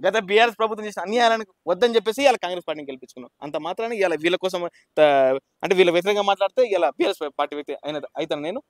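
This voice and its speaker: Very fast, high-pitched young Telangana man. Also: dry recording. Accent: Telangana Telugu. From Nalgonda district, Telangana, India. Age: young adult. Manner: insistent, challenging, repeats himself. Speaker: activist for unemployed job seekers. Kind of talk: heated argument and appeal.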